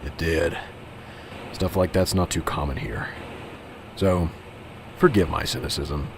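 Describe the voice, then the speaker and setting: Clear background noise surrounds a deep male voice. A man acts out a weary veteran police officer in an amateur radio play. He grumbles with dry sarcasm and brushes things off cynically. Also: measured pace, heavily acted. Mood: cynical, pointed. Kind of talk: amateur radio drama acting. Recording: clearly noisy, vehicle-like background ambience.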